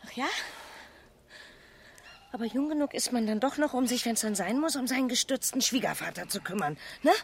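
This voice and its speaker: A woman's voice: fairly high pitch, expressive, brisk, long pauses, slight background noise. An actress playing a stressed, combative wife and mother in her forties, colloquial and agitated, in a naturalistic radio drama. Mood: bitter, sarcastic. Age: 40s.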